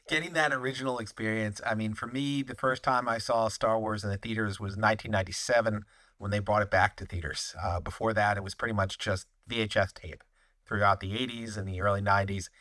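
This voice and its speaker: A man hosts a fan interview and asks his questions in an upbeat, friendly way. His voice sits fairly low. The sound is dry and close, with no room echo. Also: steady tone, fairly quick, bright, forceful tone. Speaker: podcast host, interviewer. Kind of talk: casual interview.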